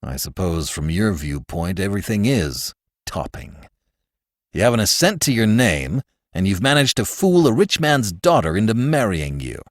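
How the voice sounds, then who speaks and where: A male narrator voicing a gruff, bitter older millionaire father who talks in a low, grumbling, curt way. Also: very animated, moderate pace, dry recording, studio quality.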